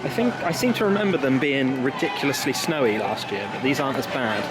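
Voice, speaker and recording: A man's voice: mid-range voice, lively intonation, fairly quick, loud music behind the voice. A young British man chatting casually and informally to viewers as a travel vlogger, recorded outdoors on a handheld camera. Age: young adult. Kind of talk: casual vlog chat. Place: outdoors in a theme park. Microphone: handheld camera at arm's length. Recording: noisy busy outdoor crowd ambience. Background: crowd and outdoor park noise.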